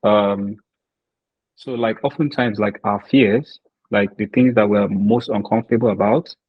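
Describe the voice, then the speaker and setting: A man's voice: on the low side, fairly even intonation, measured pace, breathy edge, dry recording, highs cut off. A man hosting a podcast, talking reflectively with lots of fillers and hesitations. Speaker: podcast host. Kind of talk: casual podcast interview.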